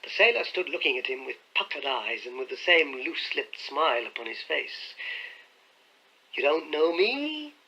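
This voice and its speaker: A man narrating an audiobook in a measured, crisp storytelling manner. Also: mid-range voice, expressive, sharp tone. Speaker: audiobook reader. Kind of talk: scripted narration. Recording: dull lo-fi audiobook recording.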